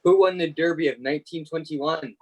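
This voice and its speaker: A man's voice: on the high side, a little fast. A man playing an audience member who calls out quiz questions in a radio play. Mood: curious, insistent. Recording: noisy video call with clicks.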